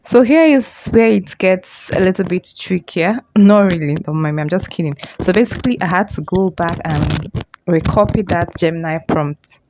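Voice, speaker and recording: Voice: mid-range voice, normal speed, background noise. An informal tutorial host with a mid-range voice. They explain step by step and often repeat or reword a phrase. Music plays behind the talk.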